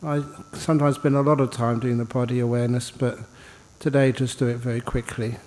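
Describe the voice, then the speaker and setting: Male meditation teacher, medium pitch, soft-edged mellow tone; informal, unhurried guidance with light humour. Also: little pitch movement, big-room sound. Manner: unhurried informal guidance with pauses, gently humorous. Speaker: Buddhist monk, meditation teacher. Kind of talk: guided meditation. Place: indoor meditation hall.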